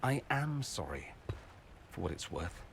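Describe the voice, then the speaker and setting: An actor voicing a flamboyant, witty noble mage in a video game, theatrical and wry, with tenderness under the sarcasm. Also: male voice, sits low, sing-song, a little slow, vocal fry, a little hiss or hum, exaggerated voice. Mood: subdued, sincere. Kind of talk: video game cutscene acting.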